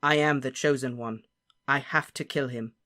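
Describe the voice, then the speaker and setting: Brief: earnest teenage boy wizard, quiet but insistent, read by the narrator. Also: medium pitch, steady tone, moderate pace, lightly acted. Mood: determined.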